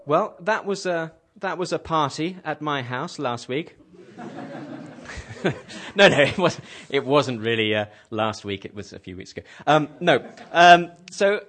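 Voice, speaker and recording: A man's voice: a little high, animated, measured pace, a bit bright, slight background noise. A man hosting a radio English lesson, patiently asking a class questions and repeating their answers back to them. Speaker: host leading an English class.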